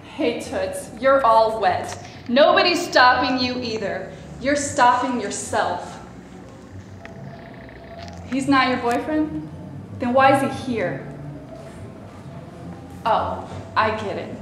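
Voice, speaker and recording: A woman's voice: on the high side, animated, slow pace, pauses often. Woman playing a brassy, sarcastic bit-part actress. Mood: taunting. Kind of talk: staged play reading. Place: theater stage before a live audience. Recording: very echoey stage, faint room noise.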